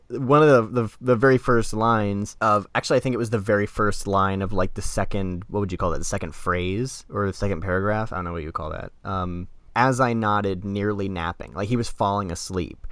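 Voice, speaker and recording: A man's voice: fairly low pitch, fairly bright tone, no room sound. A man co-hosting a podcast, casually debating in an emphatic, argumentative way. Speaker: podcast co-host and critic.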